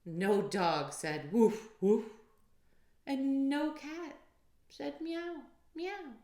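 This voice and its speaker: A woman reading a children's story aloud in an expressive, deliberate way. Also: sits high. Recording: roomy, echoey sound.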